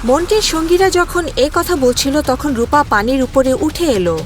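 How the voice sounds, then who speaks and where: A woman's voice: high-pitched, lively intonation, moderate pace, faint music behind. A woman narrator reading a scripted cartoon fairy tale.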